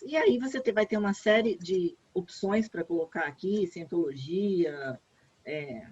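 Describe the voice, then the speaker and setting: A Brazilian woman walks through an online application form in a relaxed, chatty way, repeating the points she wants to stress. Her voice is a little husky, and the video call audio is dull and lo-fi. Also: a little high. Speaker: education advisor. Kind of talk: online workshop walkthrough.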